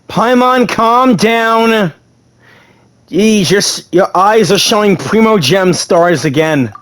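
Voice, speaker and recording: A man's voice: sits high, animated, takes it slowly, fairly bright tone. A man giving casual, slangy gameplay commentary, interjecting as a story game plays. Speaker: gamer reading and reacting to a story game. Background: game music.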